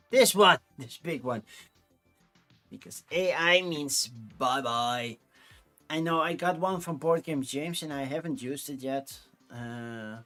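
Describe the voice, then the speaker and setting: A man's voice: mid-range voice, pushed and bright. A Dutch man in his forties with a Dutch accent, chatting casually and rambling on a livestream, with frequent restarts. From Netherlands. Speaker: streamer.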